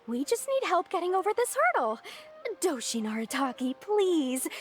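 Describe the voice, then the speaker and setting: An actress voicing a sweet young village damsel in a video game, theatrical and pleading. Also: female voice, very high voice, moderate pace. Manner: theatrically tearful, pleading, flattering. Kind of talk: exaggerated video game voice acting.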